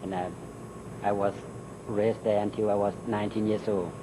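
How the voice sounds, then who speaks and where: A middle-aged man with a Thai accent, Thai his first language, answering interview questions in halting English with many fillers. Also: lowish voice, little pitch movement, noisy background. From Thailand. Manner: halting, many "uh" and "you know" fillers, laughs between answers. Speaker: interview guest. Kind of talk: recorded interview.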